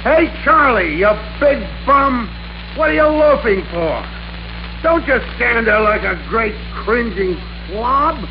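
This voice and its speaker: An actor playing a bossy, excitable office worker in an old-time radio drama, with a domineering, emphatic, theatrical delivery. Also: male voice, very high voice, slow, full character voice. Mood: bullying. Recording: noisy, dull old radio recording.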